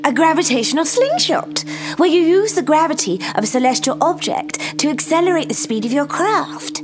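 Brainy teenage girl, high animated voice, eager, audio drama w music. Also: normal speed. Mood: eager, explaining. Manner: eager, precise, gently chiding. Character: bright, earnest, precocious teenage granddaughter.

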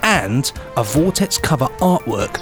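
A man's voice: medium pitch, very animated, unhurried, over music. A man chatting casually and reading news headlines as a jokey podcast co-host.

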